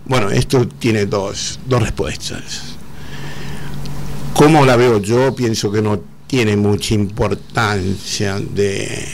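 A middle-aged man with an Argentine Spanish accent, speaking earnestly as an interview guest, often pausing and repeating words. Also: on the low side, steady tone, creaky, a little hiss or hum. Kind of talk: emotional conversational interview.

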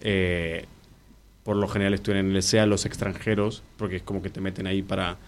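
A man's voice: deep voice, flat delivery, a little fast, a little hiss or hum. A young man from Uruguay with a mixed Uruguayan, Argentine and Mexican Spanish accent, an actor and musician speaking in a casual interview, rambling and correcting himself as he goes. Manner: rambling, self-correcting, earnest.

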